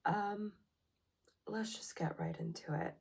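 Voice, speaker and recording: Hoarse, whispery young woman vlogging slowly. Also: mid-range voice. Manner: slow, hesitant, with many ums and soft whispery breaths. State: hoarse from a cold. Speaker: relationship vlogger. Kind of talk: personal self-help vlog.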